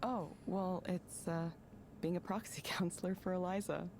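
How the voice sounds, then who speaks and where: A woman's voice: fairly low pitch, fairly even intonation, normal speed, a little mellow, a little hiss or hum, natural voice. An actress voicing a subdued, guarded woman who speaks hesitantly and with understatement in a visual novel game. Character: subdued, hesitant woman, withdrawn former tech worker, guarded.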